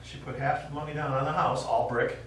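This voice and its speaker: An actor playing a stubborn elderly widower, a war veteran and retired teacher who reminisces and quotes verse in a stage play. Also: male voice, medium pitch, fairly even intonation, a little fast, a bit bright, close to an everyday voice. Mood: reminiscing. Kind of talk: live stage play acting. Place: small indoor theatre stage. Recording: echoey theatre, distant mic, faint noise.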